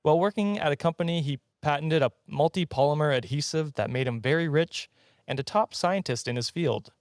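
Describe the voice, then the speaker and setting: A man's voice: on the high side, brisk, no room sound, studio quality. A man hosting a comedy podcast, telling stories informally with amused, jokey asides. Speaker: podcast host. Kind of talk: casual comedic podcast storytelling.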